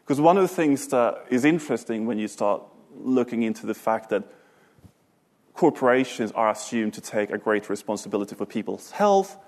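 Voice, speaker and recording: A man from Sweden giving a talk, then answering questions in a panel discussion with measured, thoughtful phrasing and some hesitant restarts. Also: mid-range voice, animated, a little mellow. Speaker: author and speaker.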